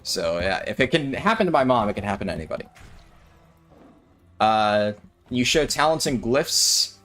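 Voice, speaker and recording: A man giving relaxed, rambling livestream commentary while playing a game and answering chat, sounding a little tired. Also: medium pitch, normal speed, faint music behind. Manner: chatty, thinks aloud, answers chat. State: maybe tired or sore throat. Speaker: streamer.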